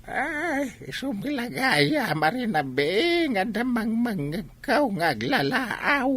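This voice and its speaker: A woman's voice: on the high side, big pitch swings, takes it slowly, fairly bright tone, heavily acted. A woman with a Filipino accent playing an aggrieved, gossiping village neighbour in a comedy radio drama, full of complaining exclamations.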